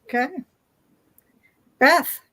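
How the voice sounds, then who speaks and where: A woman's voice: extremely slow, sharp tone, no echo. A woman moderating a panel discussion in a casual, conversational style.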